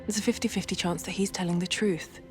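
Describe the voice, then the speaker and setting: An actress playing a level-headed, insistent intelligence analyst in a video game, reasoned and firm. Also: female voice, on the low side, fairly even intonation, fast, soft background music, natural voice. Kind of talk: video game cutscene acting.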